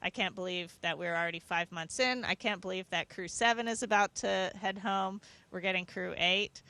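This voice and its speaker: A woman's voice: mid-range voice, normal speed, gravelly, sharp tone. A woman astronaut speaking from aboard a space station, giving thoughtful, earnest, explanatory answers in a conversational interview.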